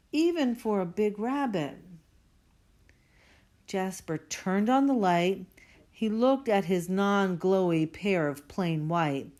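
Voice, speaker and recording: A woman's voice: mid-range voice, a little mellow. A woman reading a children's storybook aloud expressively, stretching out the spooky words for dramatic effect. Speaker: storybook reader.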